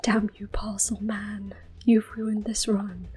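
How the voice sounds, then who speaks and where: A woman giving gentle, unhurried ASMR gameplay commentary in a soft, whispered voice, reassuring and close to the microphone. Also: medium pitch, flat delivery, husky, faint music behind. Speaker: ASMR gaming host.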